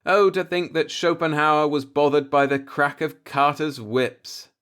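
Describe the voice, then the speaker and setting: A man's voice: a little high, steady tone, a little slow, fairly bright tone, clean tone, voice pushed up. A man reading the part of a brooding, disillusioned professor in an audiobook, musing in a pointed, rhetorical monologue. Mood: sardonic wonder. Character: brooding, disillusioned male professor philosophizing bitterly.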